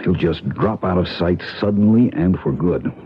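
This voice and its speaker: A man's voice: deep voice, normal speed, natural voice. An actor playing a tough male government agent in a 1970s radio drama, dry and matter-of-fact. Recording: dull, faintly hissy old radio broadcast.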